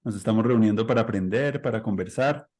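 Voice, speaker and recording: A man's voice: on the low side, brisk, darkish tone, husky. A man hosting a conversational podcast, introducing his guest in a loose, rambling way. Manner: rambling, loose, with fillers and restarts. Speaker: podcast host. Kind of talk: casual interview intro.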